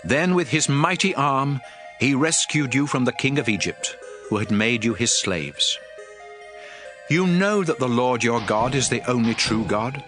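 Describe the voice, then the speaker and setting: A stern, fervent prophet played by a man proclaims scripture to his people. His mid-pitched delivery rises and falls dramatically, solemn and emphatic, over a clear bed of music. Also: animated, unhurried, a bit bright. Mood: fervent, exalting. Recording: music under the voice.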